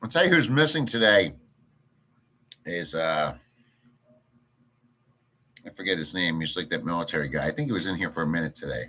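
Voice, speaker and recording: Stream host, male, low-ish voice with a sharp forceful edge, crude rambling rant style. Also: animated, normal speed, pauses often. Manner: blunt, crude, rambling, reads chat aloud. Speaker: livestream host. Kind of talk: casual ranting livestream chat. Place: home in a rural province.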